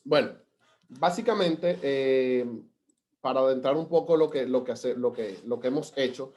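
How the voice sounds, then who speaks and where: A man's voice: medium pitch, normal speed, a little mellow. A man from Venezuela with a Venezuelan Spanish accent, presenting on a video call webinar, talking with many hesitations and restarts. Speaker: government data analyst presenting.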